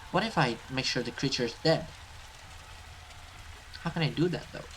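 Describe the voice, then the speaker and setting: A man's voice: medium pitch, normal speed, fairly bright tone, slight background noise, low-quality recording. A man giving casual let's play commentary and reading game text aloud. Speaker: gaming video host.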